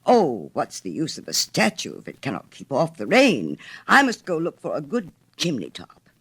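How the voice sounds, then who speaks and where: Woman acting little storybook swallow, male, fussy, flighty, self-assured, indignant exclamations, highly animated intonation. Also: normal speed, slightly rough, heavily acted. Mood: puzzled, annoyed. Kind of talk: storybook character reading.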